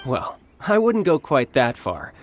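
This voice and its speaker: An actor playing a confident young male warrior and protective big brother in a video game, smooth-talking and self-assured. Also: mid-range voice, huge pitch swings, a little slow, noisy background.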